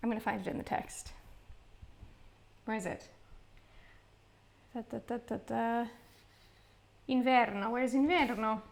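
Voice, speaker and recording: A young woman with a high voice chatting casually about her singing practice. She speaks at an unhurried pace and pokes fun at herself, laughing often. The talk is loose and friendly, like someone thinking out loud. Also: fairly even intonation, gravelly. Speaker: singer streaming vocal practice.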